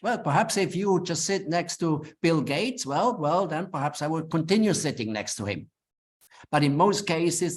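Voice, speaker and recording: Man, Swiss German accent, non-native English, middle-aged, somewhat high voice, earnest advisory talk. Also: a little mellow. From Switzerland. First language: not English. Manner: earnest, plain-spoken, with frequent restarts. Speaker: webinar speaker.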